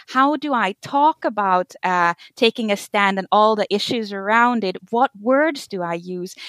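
Thirtysomething woman, speaking english haltingly with lots of uh and um, dry close sound. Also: medium pitch, a bit bright, dry recording. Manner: hesitant, many fillers and restarts, searching for words. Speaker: conference organizer, guest. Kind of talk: conversational interview answers.

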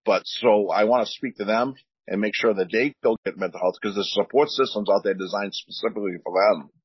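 A man with a deep voice, a veteran speaking earnestly on a podcast, often repeating words as he searches for them. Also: a little fast, husky, dry recording, highs cut off. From United States. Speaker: veteran guest. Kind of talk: candid podcast talk.

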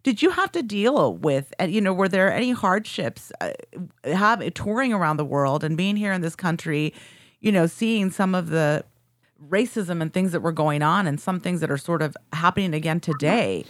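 A woman interviewing a musician, asking long halting questions full of restarts. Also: on the low side, lively intonation, no echo, studio quality.

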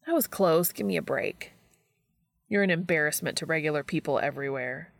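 A wry male barista from an audiobook story as a woman acts him out, all casual teasing and older-brother banter. The voice is on the low side, with creak, in a dry recording. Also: fairly quick, no echo.

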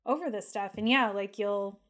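A woman's voice: medium pitch, moderate pace, fairly bright tone. A woman co-hosting a podcast, talking things through in a casual, thoughtful way with many "like" fillers. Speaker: podcast co-host. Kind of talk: casual analytical chat.

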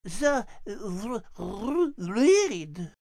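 A woman playing a refined, prim and playful female animal host with a French accent, speaking deliberately. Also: medium pitch, sing-song, darkish tone, no echo, clean studio sound, full character voice. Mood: flustered, prim.

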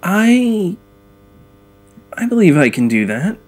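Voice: mid-range voice, sing-song, slow pace, a little mellow. An actor playing a hesitant, anxious team member in an audio drama, stammering through stiffly formal sentences. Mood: nervous, unsure.

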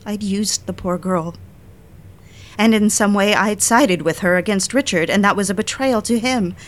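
A woman's voice: medium pitch, moderate pace, lightly acted. An actress as an emotional, defiant independent woman. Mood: wounded, reflective. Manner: emotional, emphatic, theatrical. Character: defiant, indignant young independent woman, confronting and confiding. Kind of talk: dramatic audio-drama acting and diary-like narration.

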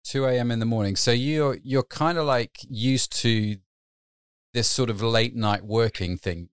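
Upbeat host in a dry room. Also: male voice, medium pitch, a little slow, smooth, no room sound. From United Kingdom. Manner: upbeat, eager questioning. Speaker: podcast host. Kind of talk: interview hosting.

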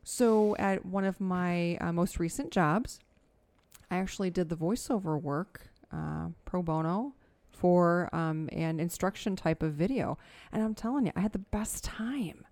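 A middle-aged woman with a soothing voice, co-hosting a casual podcast at home, easygoing and quick to laugh. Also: lowish voice, a little mellow, no echo. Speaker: podcast co-host. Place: home dining room.

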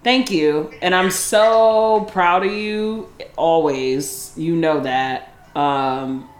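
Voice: medium pitch, fairly even intonation, drawn-out words, roomy sound. A podcast host chatting casually with a guest, affirming and cheering him on with laughter and the odd swear. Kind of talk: casual interview chat.

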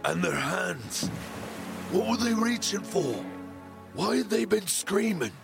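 A gravelly, spooked sailor. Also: male voice, sits high, animated, slow pace, music in the background, full character voice. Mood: fearful. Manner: earnest, fearful, insistent. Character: spooked, superstitious male sailor. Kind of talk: audio drama acting.

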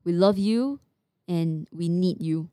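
A woman's voice: lowish voice, slow pace, dark tone, no room sound. A woman with a Singaporean accent co-hosting a podcast in casual chat, mixing English with Mandarin. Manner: code-switches between English and Mandarin. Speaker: podcast co-host.

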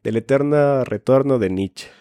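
A man's voice: medium pitch, unhurried, dark tone, no echo. A man in his twenties from Finland, co-hosting a conversational podcast. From Finland, has lived in Ecuador. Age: 20s. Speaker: podcast co-host.

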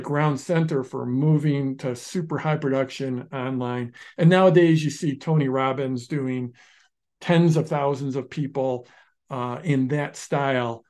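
A man co-hosting a business podcast, telling stories in a lively, restless way and restarting his sentences often. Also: mid-range voice. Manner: animated storyteller, restarts sentences, shifts pace often. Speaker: podcast co-host, online business founder. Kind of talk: casual podcast conversation.

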